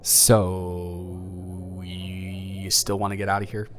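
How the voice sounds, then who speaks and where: A young man playing a nervous, tender ex-boyfriend in an intimate, improvised romantic audio roleplay, speaking earnestly in a halting, rambling way. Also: low-pitched, completely flat delivery. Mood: nervous, playful. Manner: halting, rambling, earnest delivery with repeated restarts.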